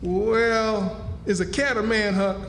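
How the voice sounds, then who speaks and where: A man's voice: high voice, fairly even intonation, slow, gravelly, fairly bright tone, a little hiss or hum, exaggerated voice. A man playing a good-hearted, stubborn runaway slave in a radio play, talking in homespun rural dialect. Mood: stubborn, indignant. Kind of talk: stage radio drama acting. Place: live stage in a hall. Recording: echoey hall, music behind.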